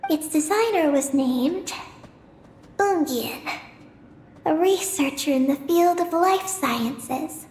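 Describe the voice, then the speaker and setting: A woman playing a calm, knowledgeable young guide in a video game, earnest and gentle, explaining things in a measured way. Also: high-pitched, a little hiss or hum. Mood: measured, informative. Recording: roomy echo, faint music behind.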